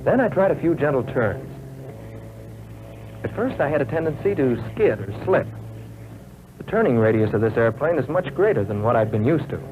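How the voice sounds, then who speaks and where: Man acting as young American trainee fighter pilot, earnest, plain-spoken, measured 1940s training film narration, tinny narrow sound, faint noise. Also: mid-range voice, sing-song, close to an everyday voice.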